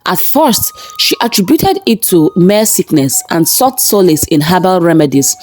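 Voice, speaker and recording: A woman narrates a scripted dramatic folktale in a measured, expressive storytelling style. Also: fairly low pitch, a little hiss or hum. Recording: narration over background music. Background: background music.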